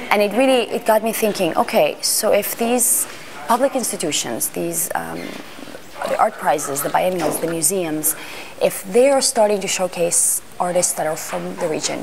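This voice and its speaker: Low gravelly woman, thoughtful interview. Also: background noise. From Iran, raised in Greece and Washington D.C. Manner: thoughtful, searching, many restarts and fillers. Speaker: art fair panel organizer. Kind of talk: interview monologue.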